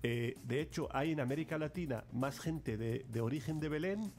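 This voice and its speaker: A middle-aged man Palestine, calmly answering as an ambassador on a radio interview in a studio. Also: a little high, normal speed. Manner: explains at length, steady and courteous, with small restarts.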